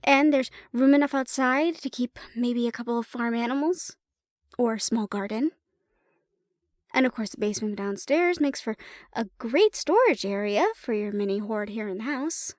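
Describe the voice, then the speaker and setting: A woman acting as an affectionate, teasing woman in a fantasy roleplay audio drama. Her voice is high and soft, close and very dry. She talks intimately to her partner, unhurried, leaving pauses. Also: lively intonation, no room sound, lightly acted. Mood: teasing, warm. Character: affectionate, teasing human woman, dragon's partner and healer shopkeeper.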